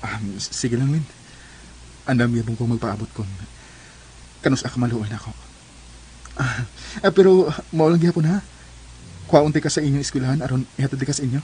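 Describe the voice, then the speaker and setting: A man's voice: mid-range voice, a little fast, pauses often, dark tone, slight background noise, natural voice. A man with a Cebuano Filipino accent playing an earnest, devoted young suitor in a radio drama. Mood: patient, pleading. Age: young adult.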